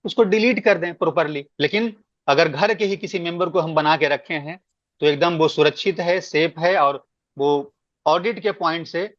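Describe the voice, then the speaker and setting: A man's voice: fairly high pitch, moderate pace, no room sound. A man explaining procedures in a work meeting, stressing points by repeating words. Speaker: meeting speaker giving guidance. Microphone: online conference call. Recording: dull, lo-fi conference call.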